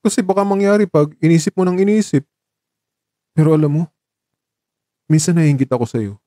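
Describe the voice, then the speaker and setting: A man's voice: fairly high pitch, fairly quick, mellow, no echo, studio quality, close to an everyday voice. An actor playing a guarded, weary young man in love in a romantic radio drama, with an understated, evasive casual manner. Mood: subdued, wistful.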